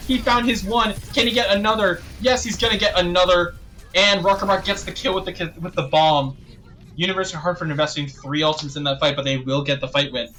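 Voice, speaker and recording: A young male esports caster calls the action play-by-play with a bright, slightly high voice. He sounds a little hoarse and clears his throat often. A faint ambient hum sits under the recording. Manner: rapid play-by-play, rising excitement on big plays, frequent throat clearing. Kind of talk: live play-by-play commentary.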